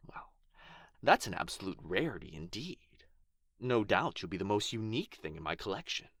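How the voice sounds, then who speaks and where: A man's voice: lowish voice, fairly bright tone, no echo, studio quality, heavily acted. A man playing a proud, pompous male dragon in a fantasy ASMR roleplay, with archly formal, theatrical phrasing. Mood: sly, possessive. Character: proud, haughty injured male dragon, grudgingly grateful, teasingly possessive.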